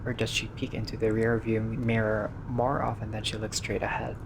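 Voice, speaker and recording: Creaky-voiced man reading game dialogue. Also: on the low side, normal speed, noisy background. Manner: expressive, slangy asides. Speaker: gaming video player reading dialogue aloud. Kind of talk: casual playthrough reading and reacting.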